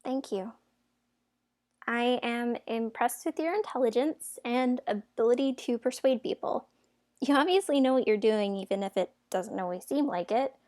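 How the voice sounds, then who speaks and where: A woman playing an outspoken young fairytale heroine in an amateur audio drama, with an expressive, emphatic way of talking. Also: fairly high pitch, normal speed, lightly acted. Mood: softer, sincere.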